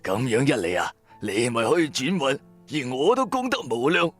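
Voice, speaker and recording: Man acting a theatrical old fortune-teller, pitch swooping. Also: very animated, normal speed, soft background music. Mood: coaxing, pious. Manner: theatrical, persuasive, self-righteous. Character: sly old blind fortune-teller, preachy charlatan mystic.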